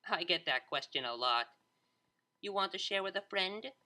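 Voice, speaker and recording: A distinguished older pony mare in charge of a university office, acted by a woman. Her voice is bright and expressive, pleasant and composed with an air of authority, in an audio drama. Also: lowish voice, moderate pace.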